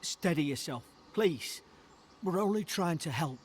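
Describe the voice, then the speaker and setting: Wary male adventurer for a fantasy game, earnest and concerned, a touch high. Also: expressive, a little slow, slight background noise. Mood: urgent, wary. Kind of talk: video game character acting.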